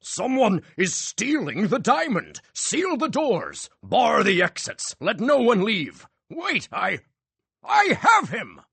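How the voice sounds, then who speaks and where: A man voicing a showy male stage magician with a grand, drawn-out showman delivery. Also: mid-range voice, huge pitch swings, vocal fry, a bit bright, breathy edge, no echo, pitched above the performer's own voice, full character voice. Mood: alarmed shouting.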